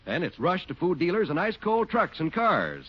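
A man announcing sponsor ads and credits for a 1940s radio show with a smooth, polished delivery. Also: high voice, huge pitch swings, normal speed. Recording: dull 1940s radio broadcast with music.

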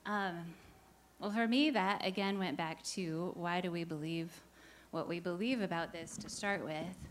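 A woman's voice: lowish voice, unhurried. A woman in her forties from the United States answers questions in a church service, candid and a little nervous, working from notes. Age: 40s. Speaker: church search team member.

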